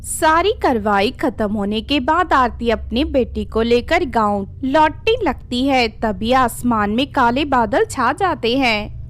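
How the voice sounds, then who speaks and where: A woman narrates a scripted story. Her voice sits fairly high as she tells the tale as its storyteller. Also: lively intonation, takes it slowly, a bit bright.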